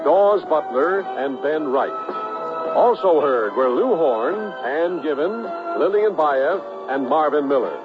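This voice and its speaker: Tinny, high-pitched male radio announcer. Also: lively intonation, slow pace. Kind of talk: scripted radio sponsor message and credits. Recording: tinny vintage radio, music behind.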